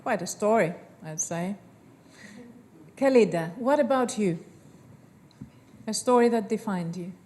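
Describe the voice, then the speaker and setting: A woman chairing a panel, offering brief, slow prompts to the speakers. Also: medium pitch, lively intonation, a little mellow. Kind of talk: moderating a panel.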